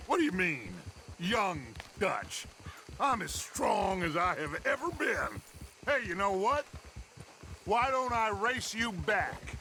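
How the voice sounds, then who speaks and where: An actor playing a silver-tongued, middle-aged American outlaw gang leader in a western video game, speaking in a grandiloquent, declamatory way. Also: male voice, high-pitched, sing-song, takes it slowly, sharp tone, a little hiss or hum, exaggerated voice. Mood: boastful, upbeat.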